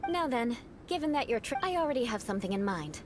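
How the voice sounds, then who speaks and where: A woman's voice: a little high, sing-song, fast, noisy background. An actress voicing a haughty, formal young noblewoman knight in a video game, vengeful in words but secretly kind. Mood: mock vengeful. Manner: formal, poised, theatrical. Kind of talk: video game character acting.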